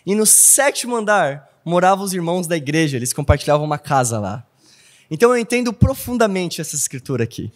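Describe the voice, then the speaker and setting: Lively Brazilian preacher, high voice. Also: male voice, fast talker. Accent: Brazilian Portuguese. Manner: animated storytelling, asks "não?" often. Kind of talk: sermon. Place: church hall.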